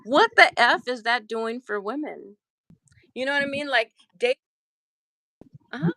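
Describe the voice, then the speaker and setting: Chatty lady host on a live video, bright voice, higher pitch, close up and dry. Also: unhurried, no room sound, rough recording. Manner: chatty, laughs easily, leans on "like". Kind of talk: casual live-stream chat.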